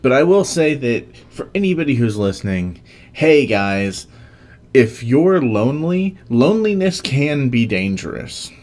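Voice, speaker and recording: A man's voice: medium pitch, big pitch swings, measured pace, darkish tone. A man chatting casually as a co-host, blunt and informal in the way he talks. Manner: blunt, informal, swears freely. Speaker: podcast co-host. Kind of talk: casual conversation.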